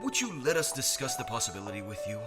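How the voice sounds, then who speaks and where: A man's voice: medium pitch, wildly swooping pitch, fast. A man voicing a gushing, polite idol talent scout in an anime dub. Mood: gushing, flustered. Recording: music behind the voice.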